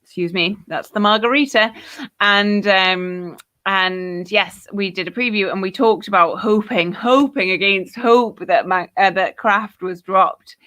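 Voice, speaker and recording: A woman's voice: fairly low pitch, fairly bright tone. A woman with a posh Geordie accent hosting a football podcast, chatting casually and reading out viewer comments with many fillers. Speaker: podcast host.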